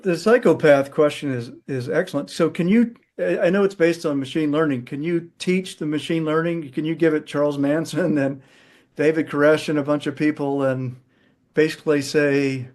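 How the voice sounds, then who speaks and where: A man's voice: a little high, steady tone, moderate pace, darkish tone. A man chatting casually as a participant in an online group call.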